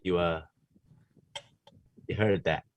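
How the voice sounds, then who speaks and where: A sheepish, dreamy sandwich maker played by a man, with a lowish voice and an unhurried, understated delivery. The comedy read comes through a clearly noisy mic. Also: steady tone, dull audio. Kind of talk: comedy script table read.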